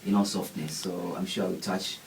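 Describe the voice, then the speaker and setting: A man's voice: low voice, fairly even intonation, moderate pace, gravelly. A man hosting a casual radio interview, rambling and laughing often. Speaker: radio host. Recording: distant mic with faint background noise.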